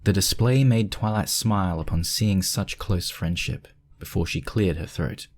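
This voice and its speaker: A man's voice: sits low, normal speed. A man reading scripted story narration as the narrator.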